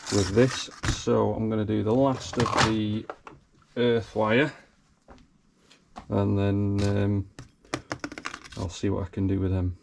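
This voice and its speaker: A man with a slightly croaky voice, casually talking himself through wiring a car in his garage. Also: on the low side, a little mellow, slight background noise. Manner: talks himself through each step, thinking aloud. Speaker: car hobbyist. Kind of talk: casual workshop commentary. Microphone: camera mic close to his hands.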